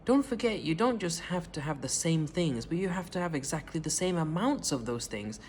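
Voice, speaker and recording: A man's voice: fairly high pitch, very animated, moderate pace, slight background noise. A man voicing a fretful mother who nags and scolds in a whiny voice. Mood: scolding.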